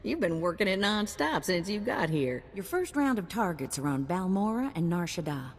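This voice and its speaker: A brash, folksy handler of bounty hunters from a video game. Her voice is fairly low and raspy, with a cheeky, streetwise manner. It rings in a reverberant space. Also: very animated, normal speed. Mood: brisk, wry. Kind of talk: scripted game character acting. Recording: long hall reverb.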